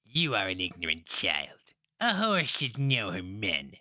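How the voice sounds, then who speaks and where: A man voicing a canny old matriarch, husky, scolding and mocking, in a fantasy story reading. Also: on the low side, huge pitch swings, a little slow, sharp tone, dry recording, studio quality, full character voice. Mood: scornful. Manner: scolding, rueful, teasing.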